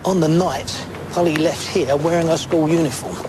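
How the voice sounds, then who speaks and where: An actor with an English accent playing a middle-aged, steady police detective who asks plain, probing questions in an audio drama. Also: male voice, a little high, moderate pace, background noise, lightly acted. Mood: matter-of-fact. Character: seasoned, steady police detective sergeant, a family man. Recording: faint background ambience.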